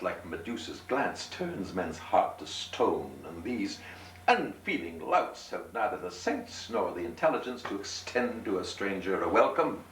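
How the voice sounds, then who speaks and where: A man voicing a small, shabby, proud and melancholy drunken gentleman with florid, proper speech in a story reading. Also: sits low. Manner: florid, proper, pompous oratory. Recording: roomy, distant, noisy amateur home recording.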